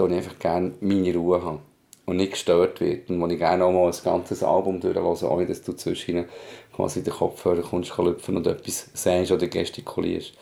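A man with a Swiss German accent speaks in a deep voice with a mellow, dark tone. There is plenty of gravel in it. He talks frankly and colloquially, as in a casual personal conversation. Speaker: podcast host, parent.

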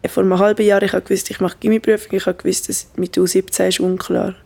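A woman's voice: mid-range voice, level tone, quick pace, vocal fry, a little mellow. A teenage girl chatting casually in a youthful, slangy way. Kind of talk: casual interview chat. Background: guitar music.

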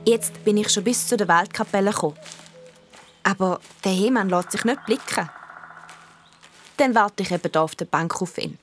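A woman's voice: mid-range voice, normal speed, long pauses, soft background music, natural voice. A woman playing an earnest young girl who narrates a children's radio play with a Swiss German accent. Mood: earnest, matter-of-fact.

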